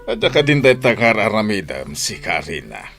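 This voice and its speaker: A man's voice: lowish voice, very animated, moderate pace, vocal fry, over music. An actor playing a stern father who lectures his grown daughter reproachfully in a radio drama.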